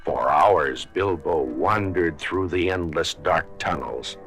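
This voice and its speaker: A man narrating a scripted children's story in a dramatic, deliberate storybook style. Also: sits low, fairly bright tone, soft background music.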